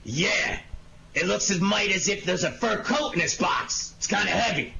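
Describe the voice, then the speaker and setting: A man playing a suspicious, sardonic police inspector in a 1940s-style radio detective drama, gruff and accusing. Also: high voice, animated, moderate pace. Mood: accusing, determined. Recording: dull, noisy lo-fi audio with clicks.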